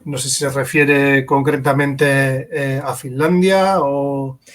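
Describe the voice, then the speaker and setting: A man's voice: medium pitch, fairly even intonation, slow, a bit bright. A man moderating an online conference session, reading questions and thanking people in a courteous, formal way.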